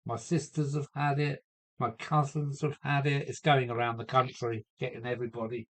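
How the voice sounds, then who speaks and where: A man with a cold chatting casually on a home live stream from his loft, answering viewers and trying out halting Spanish phrases. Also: mid-range voice, fairly even intonation, a bit bright. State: has a cold. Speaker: model railway live streamer.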